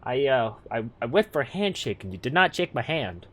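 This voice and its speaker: A man playing an exasperated but fatherly police chief in a comedic audio drama, speaking in a halting, folksy way. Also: medium pitch, big pitch swings, natural voice. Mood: folksy, awkward. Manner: halting, hesitant, folksy phrasing.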